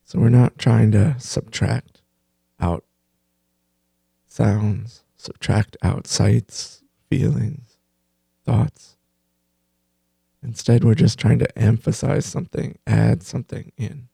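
Male meditation teacher: very soft dark tone, breathy, unhurried, gently pausing, close dry sound. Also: fairly low pitch, fairly even intonation, no room sound. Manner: gentle, unhurried, pausing between short phrases. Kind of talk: guided meditation.

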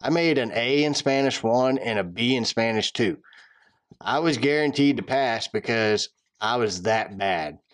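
A man's voice: mid-range voice, a little slow. A man with a Southern accent co-hosting a podcast, bantering in a dry, self-deprecating way. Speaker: podcast co-host. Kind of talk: casual chat.

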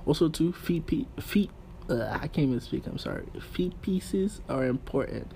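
A man chatting casually and informally as a guest, using relaxed slang as he gives his opinions. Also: a little high, normal speed. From Haitian background. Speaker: podcast guest. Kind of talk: casual chat about fashion. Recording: clear background room noise.

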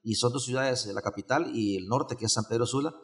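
Un hombre de Honduras con acento hondureño, invitado de una entrevista, que habla de forma suelta con muchas repeticiones y muletillas. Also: male voice, mid-range voice, fast talker. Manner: rambling, many restarts and fillers. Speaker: event organizer, guest. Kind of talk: casual interview chat.

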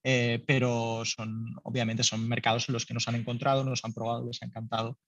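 A man's voice: mid-range voice, flat delivery, brisk, fairly bright tone, dry recording. Man, startup founder, chatty with fillers, dull sound. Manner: loose and conversational, many fillers, laughs lightly. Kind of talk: business interview answers.

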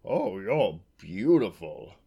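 Man acting a panting, coaxing fat countryman, pitched up. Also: sing-song, unhurried, mellow. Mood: awed coaxing.

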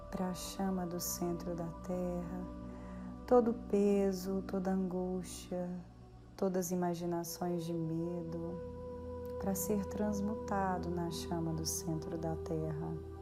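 A Brazilian woman leading a guided meditation in a soothing, measured way with long pauses. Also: medium pitch. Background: background music.

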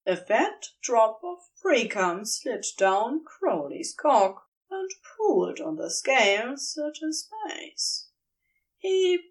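A woman reading fan fiction aloud, with slow, expressive storytelling. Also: fairly high pitch, low-quality recording. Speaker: podfic reader.

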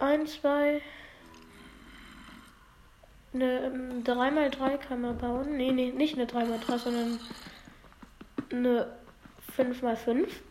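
A woman feeling under the weather, talking through a game in a halting, apologetic way and often losing her words. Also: high voice, a little mellow, slight background noise. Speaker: let's play gamer. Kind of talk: casual gameplay commentary.

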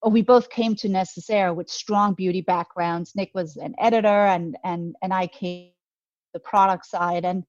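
A woman's voice: fairly low pitch, steady tone, moderate pace, darkish tone, no room sound. Danish-accented woman, dry slightly dull recording. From Denmark. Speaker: guest, beauty brand co-founder. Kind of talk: conversational podcast interview.

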